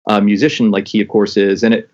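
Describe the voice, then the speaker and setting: A man's voice: fairly low pitch, level tone, quick pace, darkish tone. A man hosting a podcast, talking eagerly with many restarts and stumbles in a casual interview. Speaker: podcast host. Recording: dull lo-fi sound.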